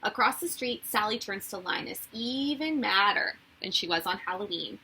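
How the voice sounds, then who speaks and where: Bright, husky woman reading a storybook. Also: mid-range voice, animated, moderate pace, rough recording. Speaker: teacher reading a storybook to children. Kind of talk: expressive children's storybook narration.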